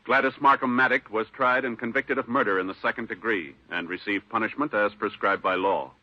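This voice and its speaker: Brisk, formal old-time radio announcer man. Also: on the low side, dry recording. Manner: formal, declarative. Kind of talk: 1950s radio announcing, formal scripted narration. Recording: muffled old radio with music behind.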